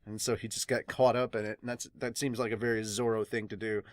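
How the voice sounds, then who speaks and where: A man gives a casual, rambling review in an excitable way, often breaking into laughter. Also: fairly low pitch, fast talker, dry recording, clean studio sound. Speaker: host of a manga review channel. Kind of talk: casual reaction and review talk.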